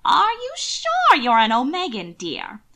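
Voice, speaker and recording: A woman voicing a complaining, elderly aristocratic aunt in an audiobook story, loud and drawn out. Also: sits high, wildly swooping pitch, unhurried, pitched above the performer's own voice, heavily acted. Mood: doubtful, prying.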